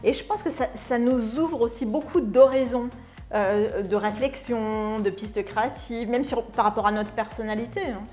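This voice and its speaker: A woman's voice: fairly high pitch, moderate pace, darkish tone, soft background music. A middle-aged woman co-hosting a conversational interview, reflective and searching for her words. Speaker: co-host, artistic director.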